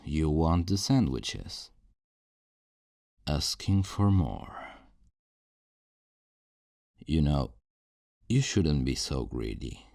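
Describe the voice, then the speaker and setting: A man acts out a controlling kidnapper with an extremely low, mellow voice that swings expressively. He is possessive and menacingly tender, coaxing and talking down to his captive in a close, dry ASMR roleplay. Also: gravelly, dry recording, heavily acted. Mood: coaxing, chiding. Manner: slow, coaxing, condescending pet-name talk. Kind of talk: ASMR roleplay, intimate monologue to the listener.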